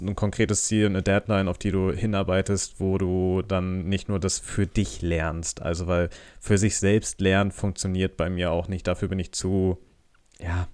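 A man with a slightly low voice talks in a casual podcast style. He often breaks off and rephrases, keeping the wording informal and conversational. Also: flat delivery, moderate pace, darkish tone, clean tone. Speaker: podcast co-host.

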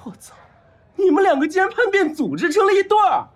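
An actor playing a spoiled, dramatic, lovelorn heir of about thirty in a modern audio drama, with a whiny, theatrical delivery. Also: male voice, very high voice, very animated, fast. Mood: indignant teasing.

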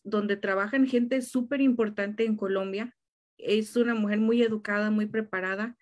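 A woman in a casual conversation, speaking emphatically and restarting her phrases often. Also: mid-range voice.

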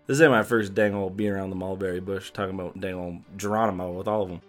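A man's voice: sits low, fairly even intonation, quick pace, faint music behind, close to an everyday voice. A man playing a rambling, mumbling good-old-boy outlaw with a Southern US accent in a comic Western audio play. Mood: rambling boast. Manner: mumbled, rambling, repetitive drawl.

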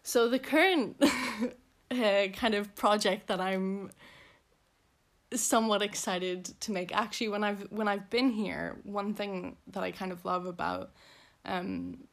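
A woman's voice: mid-range voice, unhurried. A young woman with a Belfast accent, talking casually as an interview guest, rambling with many fillers. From Northern Ireland.

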